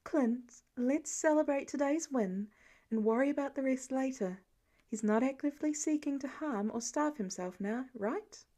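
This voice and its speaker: A woman's voice: high voice, moderate pace, warm tone. A woman voicing an encouraging, affectionate wife and mother in an audiobook story, eager and supportive.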